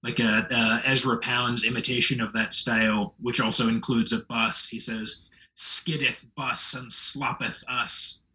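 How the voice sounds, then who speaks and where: A man speaking as a literary translator in an interview, articulate and measured, with literary phrasing. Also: medium pitch, level tone, pushed and bright, poor audio. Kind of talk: thoughtful interview discussion.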